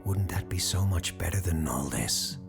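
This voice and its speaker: Lovesick young sailor read by a woman, dreamy, insistent, deep-voiced, music beneath, for an audio ghost tale. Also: lively intonation, moderate pace, vocal fry, exaggerated voice. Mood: coaxing. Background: music under the voice.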